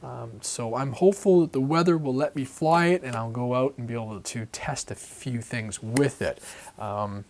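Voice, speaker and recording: A man's voice: mid-range voice, moderate pace, a little mellow, a little hiss or hum. A middle-aged man hosting a casual hobby update vlog from his workbench, rambling with many fillers while getting over a cold. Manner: rambling, lots of fillers and self-corrections. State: recovering from a cold, voice croaky at times. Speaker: RC hobby vlogger.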